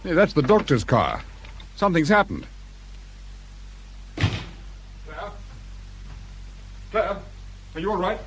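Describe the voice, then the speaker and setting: A man's voice: high voice, wildly swooping pitch, takes it slowly, pauses often, fairly bright tone, a little hiss or hum, full character voice. A man playing an edgy, defensive, short-tempered husband and father with a British accent in a radio crime drama, speaking abruptly. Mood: irritated, defensive.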